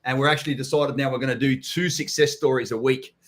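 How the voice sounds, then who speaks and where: This is an Australian man hosting a live property chat. His pitch sits in an ordinary middle range, and he talks in a loose, blunt, blokey way, swearing freely and calling people mate. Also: steady tone, quick pace. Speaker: host. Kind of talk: casual live-stream chat.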